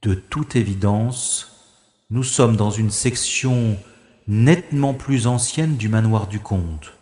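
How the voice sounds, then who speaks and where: A man's voice: fairly low pitch, takes it slowly, warm tone. A man playing a wry, gallant adventurer in an audio drama, speaking formally and thinking aloud. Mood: puzzled, searching. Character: wry, gallant male adventurer rescuing a young woman. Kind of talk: French-language audio drama acting with first-person narration. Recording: echoey long reverb, music behind.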